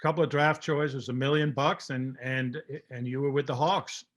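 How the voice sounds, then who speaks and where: A man's voice: mid-range voice, steady tone, normal speed. A man in his sixties from Denmark, hosting a casual video-call interview with a friendly, chatty manner and a headset mic. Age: 60s. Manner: friendly, chatty, repeats words. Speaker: podcast host. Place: home room.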